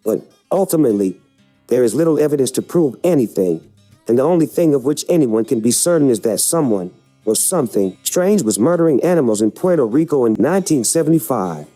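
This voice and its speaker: Mellow male voice, medium pitch, scripted documentary narration, serious, measured, faint guitar music behind. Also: expressive.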